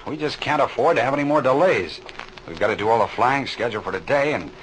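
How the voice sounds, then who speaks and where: An actor playing a seasoned, friendly flight boss in a radio adventure serial, earnest and folksy in manner. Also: male voice, mid-range voice, animated, quick pace, a bit bright, natural voice. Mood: businesslike. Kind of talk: old-time radio adventure serial acting. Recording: noisy old radio recording with engine drone.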